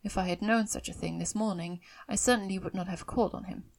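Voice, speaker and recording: Woman reading as a wry, measured gentleman father. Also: medium pitch, steady tone, vocal fry. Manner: measured, ironic, formal. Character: dry, teasing middle-aged father, wry and unruffled gentleman. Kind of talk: audiobook character voice in a classic novel.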